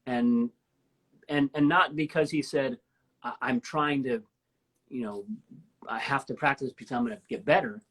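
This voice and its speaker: A woman in her fifties with a deep voice, hosting a casual live-stream chat and telling stories with enthusiasm. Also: normal speed, pauses often. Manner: enthusiastic, rambling storyteller. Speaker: host. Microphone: live stream from home.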